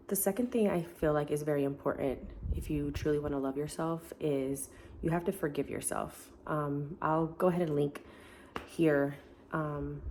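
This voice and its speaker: A woman with a deep voice talking casually to camera in an earnest, encouraging way, with many fillers. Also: darkish tone, a little hiss or hum. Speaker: self-help vlogger. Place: family home, indoors.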